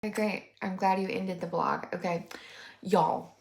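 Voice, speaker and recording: A woman's voice: mid-range voice, steady tone, a little slow, creaky, a little mellow. Young woman reaction host, sarcastic and mocking, chatty, roomy echoey sound. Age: 20s. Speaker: commentary YouTuber. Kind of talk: reaction commentary on a vlog clip. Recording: roomy echo.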